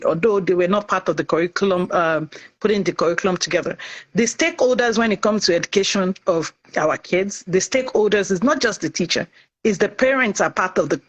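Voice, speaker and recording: A middle-aged woman from Nigeria, talking in an animated, chatty way as a guest in a casual discussion. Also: fairly low pitch, a little fast, dull audio. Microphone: online call.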